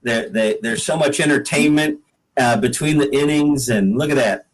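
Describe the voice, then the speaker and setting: A man's voice: mid-range voice, moderate pace, poor audio. An American man from the Pacific Northwest talking casually as an interview guest, often repeating words as he goes. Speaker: podcast guest, singer-songwriter.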